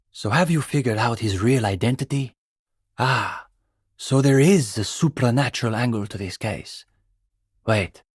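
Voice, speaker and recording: An actor playing an earnest young communist student intellectual in a video game, speaking in an articulate, pedantic way. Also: male voice, on the low side, a little mellow, breathy edge, no echo, studio quality. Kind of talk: video game dialogue acting.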